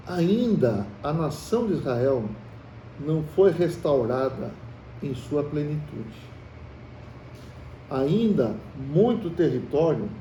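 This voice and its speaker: A man's voice: on the high side, warm tone. Brazilian man teaching in an echoey room. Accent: Brazilian Portuguese. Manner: conversational, quizzes the group with questions; calls the listeners brothers. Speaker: Bible study teacher. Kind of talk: teaching a Bible study. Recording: echoey room, from far off, faint hiss.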